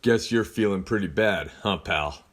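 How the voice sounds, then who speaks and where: A man acts out a tired, stubborn police sergeant in an audio drama. The voice sits very low and comes out gruff. He talks in slang with a deadpan, sardonic weariness. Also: measured pace. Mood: weary.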